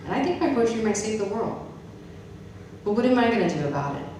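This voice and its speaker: A woman's voice: medium pitch, big pitch swings, fast. A woman poet and professor reading her own poems live at an event. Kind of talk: live spoken-word poetry reading. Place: reverberant event room with an audience. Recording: very echoey room, distant mic, noisy.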